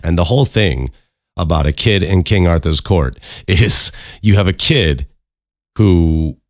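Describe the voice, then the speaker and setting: A man's voice: deep voice, lively intonation, measured pace, dry recording. A man hosting a solo podcast in a casual, rambling, informal way, close to the microphone. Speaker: podcast host.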